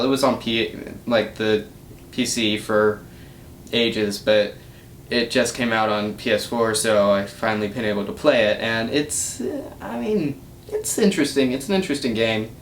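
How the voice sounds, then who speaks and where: A man's voice: expressive, measured pace. Young man in a roomy, echoey recording. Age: young adult. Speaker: YouTube gaming channel host. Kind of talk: casual rambling vlog update. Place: small room indoors. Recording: roomy echo, low rumbling noise.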